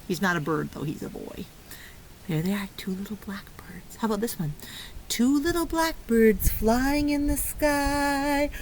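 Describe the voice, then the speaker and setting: Woman, high-pitched, very slow pace, playful sing-song preschool teacher, talking to little kids, some background noise. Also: a little mellow. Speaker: early learning preschool teacher. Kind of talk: children's lesson with sung fingerplay rhymes.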